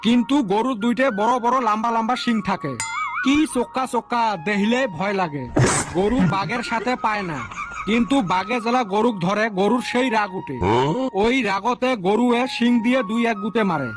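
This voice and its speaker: Man doing a high-voiced cheeky cartoon schoolboy. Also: moderate pace. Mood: mock-earnest. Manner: mock-earnest, explanatory. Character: cheeky schoolboy pupil giving smart-aleck answers. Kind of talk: comedy cartoon dubbing. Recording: loud music under the voice.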